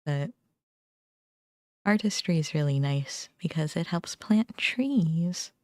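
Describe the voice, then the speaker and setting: A young adult woman chatting casually on a livestream, musing informally in short, halting phrases. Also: sits low, lively intonation, darkish tone, no room sound. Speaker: game streamer. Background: background music.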